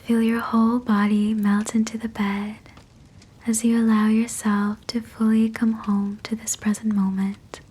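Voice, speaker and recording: A woman guiding a sleep meditation in a breathy whisper, soothing and gentle, with measured phrasing. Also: a little high, flat delivery. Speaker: sleep hypnosis guide.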